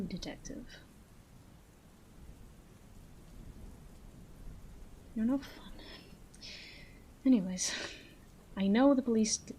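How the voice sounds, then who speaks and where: A woman playing a flirty, menacing female mob boss in a roleplay audio drama, teasing and deliberate, with breathy asides. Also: medium pitch, warm tone, a little hiss or hum, close to an everyday voice, poor audio.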